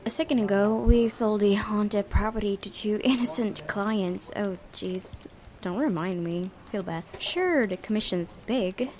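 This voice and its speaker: A woman reading a visual novel game aloud in an expressive, giggly way, with casual reactions. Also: medium pitch, measured pace, a little hiss or hum. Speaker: let's-play host.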